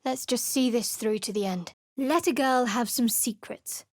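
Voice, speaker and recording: A woman's voice: on the high side, a little slow, dry recording. An actress voicing a guarded, sardonic young woman cleric in a video game, with a dry, cutting delivery. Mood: wary. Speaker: game character. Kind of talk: studio game voice acting.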